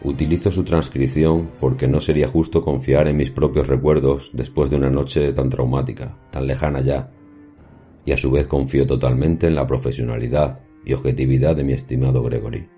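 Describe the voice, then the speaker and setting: A man playing an erudite professor who recounts paranormal experiences in a horror audio drama, with formal, measured, literary diction. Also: extremely low pitch, steady tone, warm tone. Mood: grave, reflective. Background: music.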